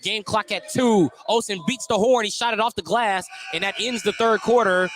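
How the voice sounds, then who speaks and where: A man with a high-pitched voice works as a radio play-by-play announcer. He follows a live basketball game, calling the action as it unfolds. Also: normal speed, fairly bright tone.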